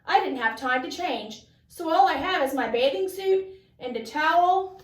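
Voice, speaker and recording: A woman's voice: high voice, animated, normal speed. A woman voicing a worried, reluctant schoolboy in a storybook read-aloud, with a fretful manner. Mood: flustered. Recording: echoey room, distant mic.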